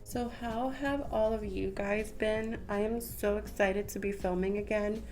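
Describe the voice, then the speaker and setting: A woman's voice: mid-range voice, fairly even intonation, a little slow, darkish tone. Young woman, stuffy from a cold, rambling beauty-vlog chat at close range, faint music underneath. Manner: chatty, rambling, with many "um" and "like" fillers. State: congested from a cold. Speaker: beauty vlogger. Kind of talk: casual makeup tutorial chat. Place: small room at home, patterned wallpaper behind. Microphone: camera at close range, head and shoulders.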